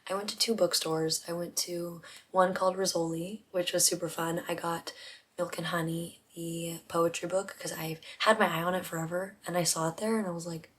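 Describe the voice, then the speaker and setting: Softly whispering young woman vlogger. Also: fairly low pitch, flat delivery, normal speed. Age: 20s. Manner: hushed, half-whispered talk. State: voice rough from not talking. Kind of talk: casual chat to camera. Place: home, indoors at night. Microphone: vlog camera.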